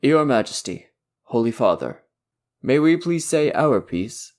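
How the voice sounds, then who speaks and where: A man playing an earnest, diplomatic prince in an audio roleplay, with courteous, measured and articulate speech. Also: mid-range voice, sing-song, darkish tone. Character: polite, diplomatic young prince, earnest and patient.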